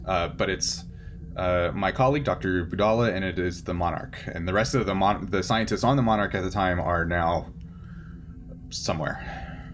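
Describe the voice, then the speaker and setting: A man's voice: low voice, normal speed, natural voice. A man playing a polite, earnest male scientist in a tabletop game, explaining things formally with hesitations. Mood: explanatory, concerned. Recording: clear steady background noise.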